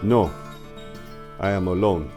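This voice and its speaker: Reserved immigrant man from Congo acted by a male narrator, courteous, formal, low-pitched, audiobook drama. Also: slow, over music. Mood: reserved, polite. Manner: formal, uncontracted short sentences.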